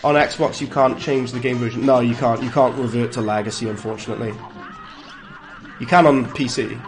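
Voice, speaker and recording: A man's voice: mid-range voice, steady tone, brisk, music in the background. A man with a Welsh accent streaming a game live, chatting casually, greeting viewers and reacting aloud to the play. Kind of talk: live gameplay commentary and chat replies.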